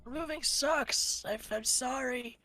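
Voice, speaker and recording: A woman's voice: sits high, slow pace, pushed and bright. A woman chatting informally as a livestream co-host, tending to repeat her phrases. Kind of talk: casual livestream chat.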